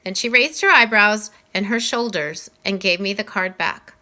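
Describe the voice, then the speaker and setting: A woman reading a children's novel aloud as an expressive storyteller. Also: medium pitch, moderate pace. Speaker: reader.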